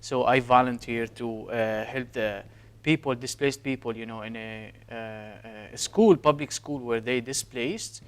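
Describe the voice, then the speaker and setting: A man's voice: mid-range voice, measured pace, smooth. A man in his thirties from Syria with a Syrian Arabic accent, answering interview questions earnestly with frequent hesitations. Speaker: guest, physician.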